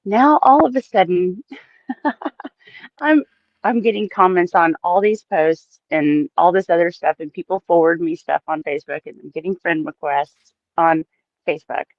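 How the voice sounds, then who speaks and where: A woman's voice: on the low side, unhurried, no room sound, highs cut off. A woman chatting casually as a livestream co-host, giggly and prone to repeating her phrases.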